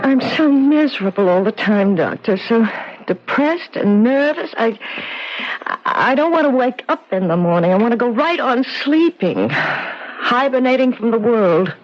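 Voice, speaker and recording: A woman's voice: on the high side, animated, unhurried, slight background noise. An American actress playing an anxious but determined young woman in a 1970s radio drama, earnest and emotive. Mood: miserable, hesitant. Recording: muffled 1970s radio recording.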